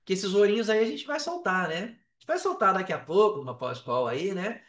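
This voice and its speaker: Brazilian man, high-pitched, animated, slangy, casual interview talk, echoey room. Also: a little fast. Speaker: podcast guest, affiliate marketer. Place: indoors on an online call. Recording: roomy echo on a call.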